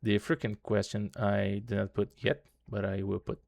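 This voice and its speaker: A man with a low voice, Portuguese being his first language, guides viewers through a screen share in loose, repetitive English. His delivery stays flat and even. The sound is dry and close. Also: normal speed, vocal fry, no echo. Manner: loose repetitive tutorial talk, constant "okay" and "right" tags. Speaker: trading channel host. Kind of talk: casual screen-share walkthrough.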